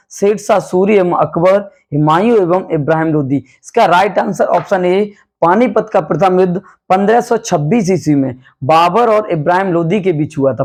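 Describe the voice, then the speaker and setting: A man with a hoarse voice, a coaching teacher running a quick quiz-style revision lesson, calling his students "beta" and repeating each question and answer. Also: high voice, a little mellow. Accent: Hindi. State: hoarse from night classes.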